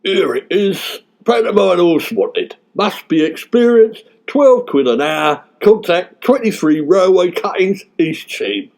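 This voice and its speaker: A man's voice: high voice, lively intonation, takes it slowly, vocal fry, hardly any pauses, a little mellow, exaggerated voice. A man playing a wily, blunt, teasing theatrical agent in radio sketch comedy. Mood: sceptical. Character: wily, blunt male theatrical agent, cheerfully needling and money-minded. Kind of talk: sketch comedy in the manner of 1950s British radio.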